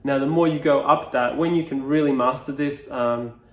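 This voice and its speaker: Serbian man, flat roomy voice. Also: mid-range voice, normal speed. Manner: reflective, loose, many fillers and restarts. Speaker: speaking coach. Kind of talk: casual explainer to camera.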